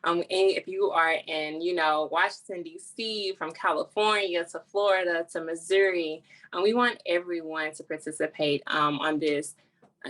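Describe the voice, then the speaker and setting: A woman's voice: lowish voice, a bit bright. A woman speaking earnestly as a nonprofit founder, explaining her work as an interview guest.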